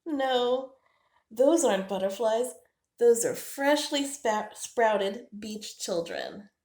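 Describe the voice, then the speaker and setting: A woman's voice: fairly high pitch, very animated, takes it slowly, darkish tone, pitched above the performer's own voice. A woman voicing a kindly, protective man in a children's storybook, patiently explaining and reassuring. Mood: gently explaining. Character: kindly man, gentle, protective nature guide to a squirrel. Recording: roomy, echoing indoor sound.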